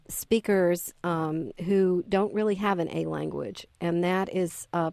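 A woman who directs a university program, guesting on a radio talk show and explaining in a measured way with frequent hesitations. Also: fairly low pitch, darkish tone, no echo. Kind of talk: radio interview, academic explanation.

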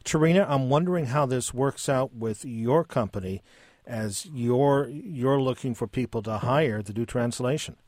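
A man's voice: mid-range voice, normal speed, a little mellow, no echo, clean studio sound. Man hosting a radio interview. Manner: curious questioning, some stumbling. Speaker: radio talk show host.

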